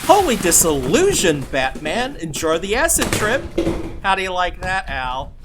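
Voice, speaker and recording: A woman's voice: on the low side, sing-song, a little slow. Casting: woman as sarcastic horror villainess, swooping intonation, theatrical taunts, noisy ambience behind her. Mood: gleeful taunting. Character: snarky, gleeful horror-show villain host, pop-culture mocking. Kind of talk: comic horror audio drama.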